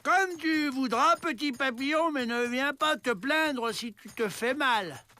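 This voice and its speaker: A man playing a gentle, childlike, simple-minded prison guard in an animated comedy, speaking tenderly and naively. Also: very high voice, measured pace, exaggerated voice. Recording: faint music behind the voice.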